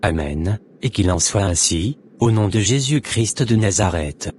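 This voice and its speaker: Man acting low-voiced solemn devout convert, noisy recording. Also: expressive, unhurried, darkish tone. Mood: prayerful.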